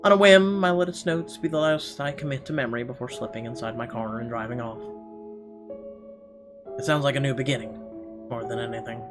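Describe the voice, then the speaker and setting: A man's voice: mid-range voice, brisk, long pauses, loud music behind the voice. A man reading a first-person story aloud dramatically as a gaming host, breaking off for blunt, sweary reactions. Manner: expressive, sweary commentary between read passages.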